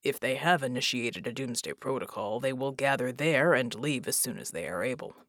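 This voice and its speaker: A woman voicing a stoic, analytical man who muses in formal, measured sentences, in an audiobook. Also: extremely low pitch, fairly bright tone, no echo, studio quality, voice pushed down. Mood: musing.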